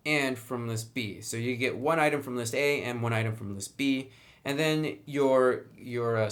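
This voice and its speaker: A man with an average-pitched voice gives a casual review, explaining things point by point. He keeps it conversational and slips in dry, wry little asides as he goes. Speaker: tabletop game book reviewer.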